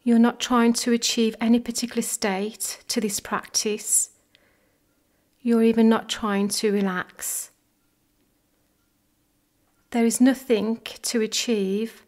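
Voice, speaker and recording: A woman leading a guided body scan meditation in a soft, gentle, measured way. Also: a little high, flat delivery. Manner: gentle, measured, unhurried phrasing with pauses.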